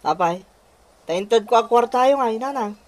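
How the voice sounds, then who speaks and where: A man's voice: very high-pitched, expressive, normal speed, a little mellow. An actor playing a put-upon grown son who complains about a spendthrift mother, in a radio comedy-drama style. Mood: reluctant.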